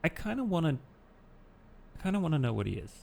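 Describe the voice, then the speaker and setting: A man's voice: a little high, big pitch swings, fast, gravelly, warm tone, exaggerated voice. A man playing a hesitant, curious undead man in a tabletop game, speaking in a subdued way and repeating his phrases. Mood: hesitant, insistent.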